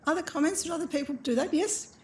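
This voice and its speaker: A woman chairing a hospital panel discussion, quick and plain-spoken. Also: extremely high pitch, a little hiss or hum. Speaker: panel moderator, obstetrician.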